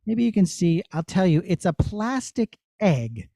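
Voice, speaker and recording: Male puppeteer, soft mellow tone, dry recording, encouraging and explanatory with children. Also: high-pitched, very animated, measured pace, creaky, dry recording. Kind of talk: answering children's questions.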